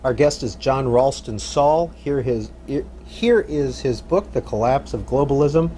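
A male host runs a live call-in show with brisk, short cues. His voice sits at a medium pitch with a faint hiss behind it. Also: expressive, mellow.

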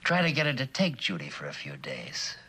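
A man's voice: lowish voice, wildly swooping pitch, fairly quick, sharp tone, breathy edge, exaggerated voice. An actor playing a shrewd, suspicious man in a vintage television suspense drama, speculating in clipped slang. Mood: suspicious, calculating.

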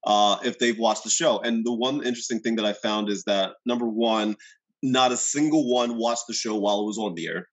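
A middle-aged man hosting a podcast over a video call from home, eager and quick to agree and laugh along. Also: lowish voice, low-quality recording. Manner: eager, frequent backchannel and laughs. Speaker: podcast host. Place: home room indoors. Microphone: video call webcam.

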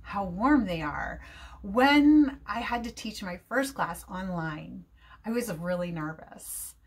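Middle-aged American woman, English teacher, bright and expressive to-camera delivery, home webcam sound. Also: medium pitch, takes it slowly, husky. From New Jersey, USA. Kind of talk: talking to camera. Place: home room, indoors.

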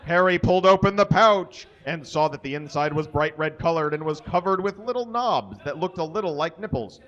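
Guy with a slightly high voice doing a funny live story reading, stumbles on typos, bit muffled. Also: animated, a little fast, a bit bright. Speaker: live reader. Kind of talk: comic dramatic reading of fan fiction to an audience. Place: small indoor stage. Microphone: stand microphone on stage, filmed from a distance. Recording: dull, muffled live stage recording.

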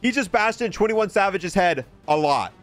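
A man's voice: sits high, animated, moderate pace. A man giving casual, chatty, opinionated commentary as the host of a gaming video. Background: music.

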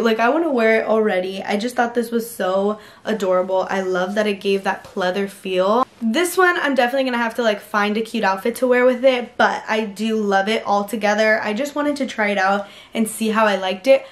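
A woman in her twenties doing a chatty, gushing try-on haul review from her bedroom, filled with "like". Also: a little high. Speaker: fashion vlogger. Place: pink-lit bedroom.

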